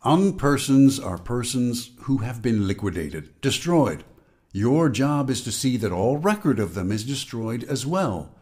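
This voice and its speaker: A man's voice: medium pitch, very animated, a little slow, a little mellow. An actor playing a man's urgent, warning inner voice in a radio drama, giving clipped, bossy commands. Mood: grim warning. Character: Winston's inner voice, urgent, warning, needling male conscience.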